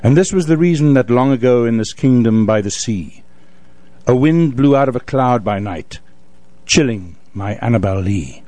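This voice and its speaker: A man reciting classical poetry theatrically as a wistful, grieving lover, with dramatic, measured storytelling. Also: mid-range voice, animated, dark tone, dry recording, lightly acted. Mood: mournful. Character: wistful, grieving male lover narrating a gothic poem.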